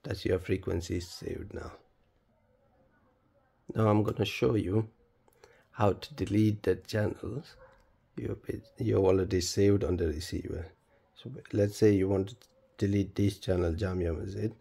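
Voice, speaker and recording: A man presenting a step-by-step tutorial in a plain, halting, instructional manner. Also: fairly low pitch, fairly even intonation, gravelly, mellow, husky. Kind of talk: step-by-step spoken tutorial, unscripted.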